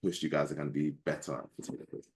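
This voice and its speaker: This is a man hosting a conversational interview. His voice sits very low, and he talks quickly. He strings his questions together loosely, softening them with "kind of" as he goes. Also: little pitch movement, slight background noise. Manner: rambling, hedging questions with "kind of". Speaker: podcast host.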